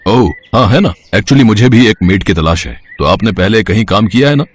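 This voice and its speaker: A man's voice: on the low side, lively intonation, normal speed, breathy edge. Man acting an easygoing, friendly young employer. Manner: casual, chatty, some English words.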